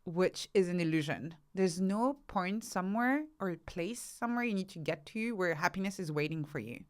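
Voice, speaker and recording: A woman's voice: lowish voice, expressive, moderate pace. A woman speaking as an interview guest, explaining her ideas thoughtfully and emphatically, often building points through lists of examples. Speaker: interview guest, author and researcher.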